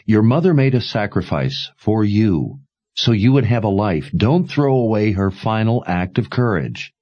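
Male reader as a steady young man urging escape, husky and low. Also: measured pace, muffled recording. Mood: urgent. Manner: firm, pressing, earnest.

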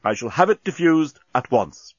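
Guy doing a pompous stern space captain from an audiobook, loud n indignant, pitched up. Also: lively intonation, moderate pace, husky, no room sound, muffled recording. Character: pompous, stern, honour-bound male starship captain.

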